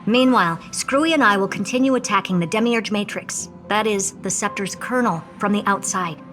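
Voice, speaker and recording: A woman's voice: mid-range voice, fairly quick, fairly bright tone, faint music behind, natural voice. An actress voicing a confident woman who lays out a mission plan in a businesslike way. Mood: brisk. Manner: businesslike, self-assured. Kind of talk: game voice acting.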